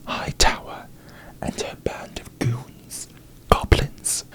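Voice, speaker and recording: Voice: lightly acted, poor audio. Someone acting a haughty whispering fantasy queen. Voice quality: breathy whisper. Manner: pompous, deadpan, regal formal diction. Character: haughty, disdainful royal dragon queen, fantasy parody. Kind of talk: whispered ASMR, in-character comic monologue.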